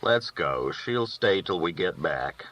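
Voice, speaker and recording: A man's voice: low voice, expressive, takes it slowly, fairly bright tone, recorded from a distance, heavily acted. A man voicing a gloomy, hot-tempered cowboy with aggrieved rural talk in a storybook reading, on a dull recording.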